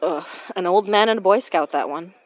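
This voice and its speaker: A woman's voice: on the low side, a little fast, highs cut off, some chatter behind, natural voice. A woman playing a grumbling, skeptical young woman in a naturalistic audio drama. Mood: exasperated. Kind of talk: naturalistic horror fiction podcast acting.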